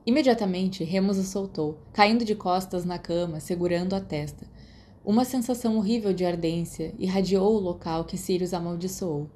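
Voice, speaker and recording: Female audiobook narrator, Brazilian accent, warm dark timbre, scripted fiction, light background noise. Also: on the low side, moderate pace.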